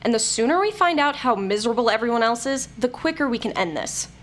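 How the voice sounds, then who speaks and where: A woman's voice: on the high side, animated, fast talker, very noisy, natural voice. A woman playing a bitter, bossy elderly programmer in an audio drama, blunt, impatient and sardonic. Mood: sardonic, grim. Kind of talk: scripted audio drama acting.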